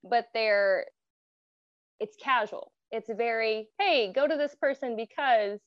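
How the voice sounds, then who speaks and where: A woman's voice: on the high side, takes it slowly, no echo, highs cut off. A woman guest chatting very informally, acting out other people's remarks as she talks. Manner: informal, acts out quoted remarks. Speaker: guest, a surgery patient. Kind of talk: casual chat.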